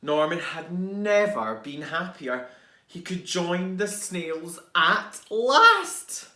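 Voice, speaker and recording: Slow, expressive storybook reader, male, echoey room. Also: high voice. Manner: expressive, dramatic pauses and hushed asides. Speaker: teacher reading to pupils. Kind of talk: animated children's storybook reading. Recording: roomy, echoing indoor sound.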